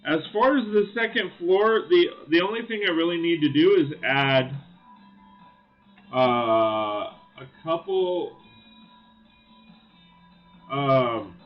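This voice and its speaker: A man hosting a casual live stream, thinking aloud with lots of fillers and greeting viewers, his voice slightly raspy. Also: a little high, lively intonation, slow, pauses often, lo-fi sound, faint music behind. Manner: friendly greetings to chat viewers. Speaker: livestream host. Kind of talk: casual live-stream chat while building.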